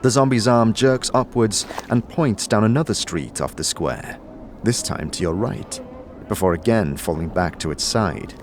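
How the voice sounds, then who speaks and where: Low-voiced man narrating dark fantasy. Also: animated, moderate pace. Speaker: game narrator. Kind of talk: scripted second-person fantasy audio drama narration. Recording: faint background noise and ambience.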